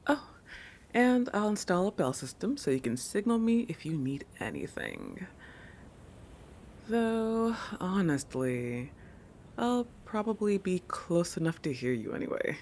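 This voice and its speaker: Casting: a woman as a self-assured, possessive shark girl for roleplay audio, slow and teasing, slipping into breathy whispers. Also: lowish voice, huge pitch swings, a little mellow, a little hiss or hum, full character voice. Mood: planning, playful. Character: possessive, territorial, playful young shark girl. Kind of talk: intimate roleplay audio acting.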